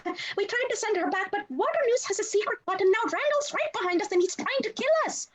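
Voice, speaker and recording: A woman's voice: very high voice, lively intonation, fast, background noise. A woman voicing an earnest, self-important little male monster sidekick in a read-through of a comedy script. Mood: frantic. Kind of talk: cast table read of a film script.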